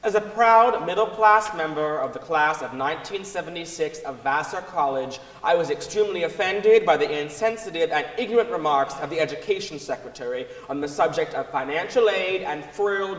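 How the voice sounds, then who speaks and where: Higher-voiced young man, echoey hall. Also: moderate pace, few pauses, a bit bright. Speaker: reader in a dramatic reading. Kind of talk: staged dramatic reading. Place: large wooden concert hall stage. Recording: echoey concert hall with long reverb.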